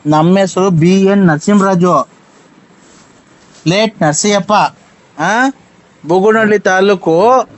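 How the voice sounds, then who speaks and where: Male prank caller, high-pitched, rural Karnataka accent, straight-faced and insistent, on a phone recording. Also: slow pace, long pauses, no echo. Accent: rural Karnataka Kannada. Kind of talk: prank phone call, teasing.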